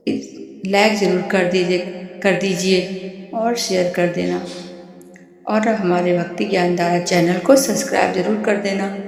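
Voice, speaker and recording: A hoarse woman with a sore throat hosts a devotional channel and keeps making the same pleading requests to her viewers. Her speech runs nearly flat in pitch. The voice echoes with a long reverb, and soft music plays behind her. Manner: pleading, repetitive asks to like and subscribe. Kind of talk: casual appeal to viewers.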